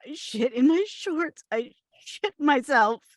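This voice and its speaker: A woman's voice: sits high, expressive, slow, dry recording, studio quality. A woman acting a desperate, mortified bride-to-be in a comedy table read, plaintive and blunt.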